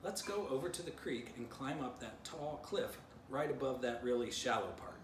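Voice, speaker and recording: A forthright female dachshund from a storybook voiced by a man, curious and earnest. The pitch is very animated. The sound is echoey, with noticeable noise. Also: normal speed, lightly acted. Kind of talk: children's storybook character voice. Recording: distant mic, roomy, noisy.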